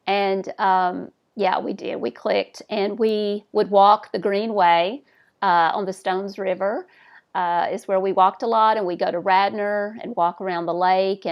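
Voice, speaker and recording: A woman's voice: mid-range voice, fairly even intonation, measured pace, clean tone. A woman hosting a travel podcast, asking questions and chatting in a casual, conversational way. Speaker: podcast host. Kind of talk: casual interview.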